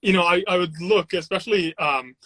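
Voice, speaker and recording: A man's voice: sits high, brisk, bright, forceful tone, dry recording. A man who grew up in Trinidad, chatting casually as a guest and telling his story with many fillers and restarts. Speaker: guest, sound engineer and videographer. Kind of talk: casual chat on a video call. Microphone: Bluetooth headphones, then computer mic.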